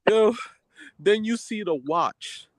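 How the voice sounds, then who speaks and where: Casting: male podcast co-host, fairly high lively voice, close dry sound, excitable slangy banter with repeated phrases. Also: slow, no echo. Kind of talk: casual banter.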